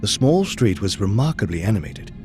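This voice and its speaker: An earnest gentleman companion, telling a detective story in an audio drama. The voice is on the low side and measured, loyal in tone, with soft music underneath. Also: very animated. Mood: reflective, measured. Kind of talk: audio drama narration and dialogue.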